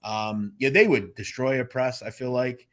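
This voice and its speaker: A man's voice: medium pitch, fairly even intonation, normal speed, a bit bright. A man giving casual sports betting analysis in conversation, with emphatic points and asides.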